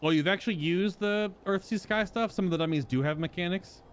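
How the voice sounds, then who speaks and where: A man's voice: high voice, brisk, slight background noise. A man doing casual live game commentary, musing and rambling as he thinks aloud. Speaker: game streamer.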